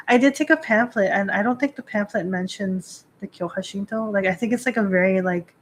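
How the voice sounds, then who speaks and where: A woman's voice: mid-range voice, moderate pace, poor audio. Chatty woman live-streaming, faint hiss. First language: not Japanese. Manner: rambling, lots of "like" fillers, laughs often. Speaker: Shinto priestess hosting a live stream. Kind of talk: casual live-stream chat.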